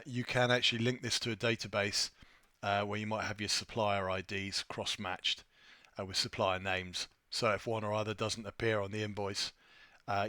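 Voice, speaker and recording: Bright-voiced man giving a software walkthrough. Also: on the low side, dry recording, clean studio sound. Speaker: software tutorial presenter. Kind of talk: informal screen-share walkthrough.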